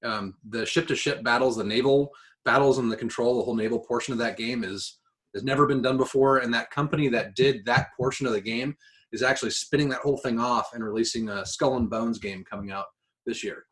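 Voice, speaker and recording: A man's voice: medium pitch, brisk, fairly bright tone. Casual, sweary man podcasting. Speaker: podcast co-host. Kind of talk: casual chat about games and films.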